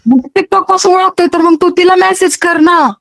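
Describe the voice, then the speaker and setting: A woman's voice: very high-pitched, normal speed, creaky, fairly bright tone. A woman with a Maharashtrian accent in an argumentative phone call, scolding and nagging her caller with question after question. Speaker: social media video creator taking a fan's call.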